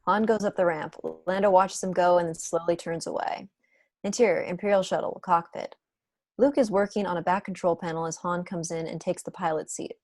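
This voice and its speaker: A woman's voice: on the low side, steady tone, brisk, a little mellow, dry recording. A woman reading screenplay stage directions as scripted narration in an online table read. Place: indoors on a video call.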